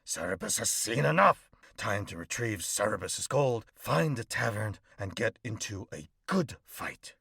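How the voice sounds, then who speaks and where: A performer voicing a grumpy male warrior who talks about himself in the third person, sardonic and deadpan. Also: mid-range voice, unhurried, vocal fry, breathy edge, no echo, clean studio sound, heavily acted. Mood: grumbling.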